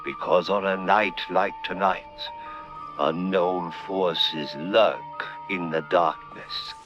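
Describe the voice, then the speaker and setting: A man's voice: low-pitched, fairly even intonation, slow pace, highs cut off, loud music behind the voice. A man giving a scripted, spooky introduction to a radio play in a theatrical, ominous manner. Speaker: announcer and performer of the radio play.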